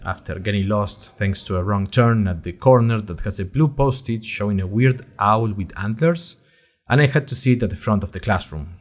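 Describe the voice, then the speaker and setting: A man's voice: medium pitch, natural voice. A man playing a chatty, nervous young college student who narrates his story in a wry, conversational way with dramatic emphasis. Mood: chatty, animated. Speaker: narrator of an audio fiction podcast. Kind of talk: scripted first-person storytelling, diary-like.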